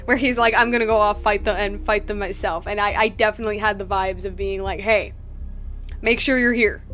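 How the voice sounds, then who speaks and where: A woman giving casual live commentary as she plays a game, excitable and rambling. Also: mid-range voice, brisk. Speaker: game streamer. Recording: game music behind the voice.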